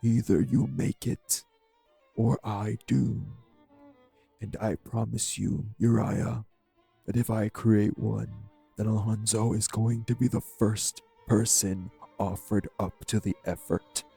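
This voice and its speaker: Man acting a very raspy, menacing vampire villain. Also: on the low side, steady tone, faint music behind, heavily acted. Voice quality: raspy, dark. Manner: grandiose, formal, measured threats. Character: arrogant, obsessive, menacing male vampire villain. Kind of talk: dramatic audio roleplay acting.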